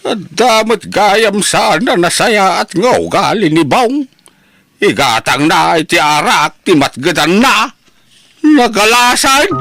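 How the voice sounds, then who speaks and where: A man's voice: high-pitched, full character voice. A man with an Ilocano accent playing an older, helpful but easily scandalised village uncle in an amateur radio-style drama. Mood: disapproving.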